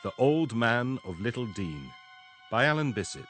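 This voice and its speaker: A man's voice: medium pitch, big pitch swings, moderate pace, a bit bright, soft background music. A man announcing a story title and credits in a scripted style.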